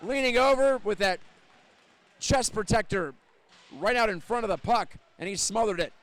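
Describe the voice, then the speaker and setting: A man doing live audio play-by-play of an ice hockey game as the announcer, describing the action in a measured, detailed way that lifts with the play. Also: high voice, a bit bright. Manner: measured, descriptive, lifting on scoring chances. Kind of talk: live ice hockey radio-style commentary.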